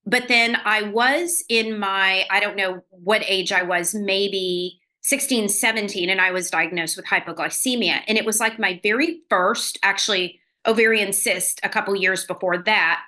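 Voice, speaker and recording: Bright, bubbly woman in her fifties. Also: mid-range voice, fairly even intonation. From Louisiana. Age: 50s. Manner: bubbly and effusive, quick to laugh. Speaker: guest, fitness expert. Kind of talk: casual interview chat.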